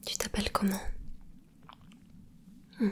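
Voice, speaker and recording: A woman voicing a gentle, caring mermaid in an ASMR roleplay, whispering softly and reassuringly with a curious, playful touch. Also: natural voice. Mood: soothing whisper. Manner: soft whispering, slow and soothing. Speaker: ASMR creator.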